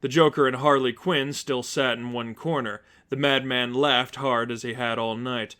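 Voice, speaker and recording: A man's voice: medium pitch, fairly even intonation, normal speed, sharp tone. A man reading fan fiction aloud as a scripted story narrator.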